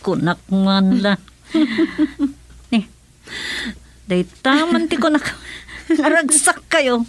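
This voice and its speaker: A woman's voice: high-pitched, expressive, drawn-out words, long pauses, dry recording, exaggerated voice. An actress with a Filipino accent playing a deferential female assistant in a radio drama. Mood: amused.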